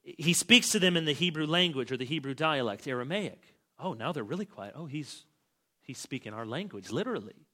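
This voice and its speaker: A man preaching with a bright, forceful voice pitched a little high. He drives points home with loud, stretched-out phrases, then drops to a near hush. He sounds middle-aged. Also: lively intonation, a little fast. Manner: emphatic, with big swings in loudness. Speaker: pastor. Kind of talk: expository sermon.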